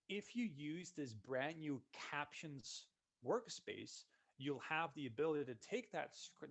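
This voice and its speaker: A man, a solutions consultant giving a relaxed, conversational walkthrough of software in a live webinar. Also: on the high side. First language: Dutch. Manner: relaxed, conversational walkthrough narrating each click.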